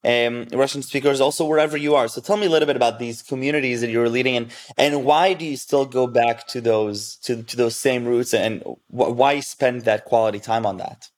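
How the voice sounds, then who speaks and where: A man's voice: mid-range voice, fairly even intonation, fairly quick, few pauses. A man hosting a casual interview, eager and encouraging, asking follow-up questions. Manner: enthusiastic, asks follow-up questions. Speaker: interview host.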